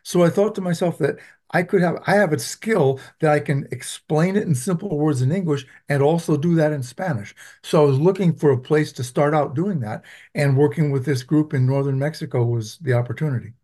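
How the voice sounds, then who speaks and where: A man from Venezuela who teaches public health, answering interview questions in a measured, explanatory way with frequent ums. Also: on the high side, dark tone. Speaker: public health professor, guest.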